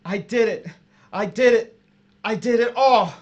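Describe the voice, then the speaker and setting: Desperate captive man, acted, higher voice. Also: measured pace, lo-fi sound. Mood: desperate, pained. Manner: pleading, strained. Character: tormented captive coworker, guilty adulterer, desperate and pleading. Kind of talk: audio drama acting.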